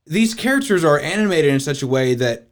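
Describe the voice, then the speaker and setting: A man co-hosting a podcast, chatting casually about a movie, blunt and quick to agree, with the odd swear word. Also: fairly high pitch, expressive. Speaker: podcast co-host. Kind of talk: casual movie review chat.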